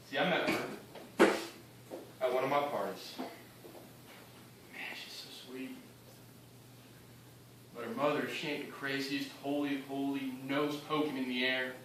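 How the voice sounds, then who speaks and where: A man performing a theatrical monologue as a cynical, bitter young drug dealer, defiant and speaking in clipped phrases with pointed pauses. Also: medium pitch, steady tone, a bit bright, lightly acted. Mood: scornful. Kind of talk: theatrical monologue addressed to an audience. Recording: very echoey room, distant mic, faint noise.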